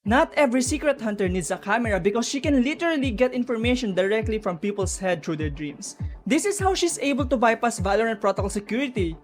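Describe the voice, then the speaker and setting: A woman with a fairly high voice narrates a lore breakdown over background music. She is chatty and informal, weighing theories and addressing her viewers directly. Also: expressive, fast talker. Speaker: video narrator explaining game lore. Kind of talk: informal explainer commentary. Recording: music under the voice.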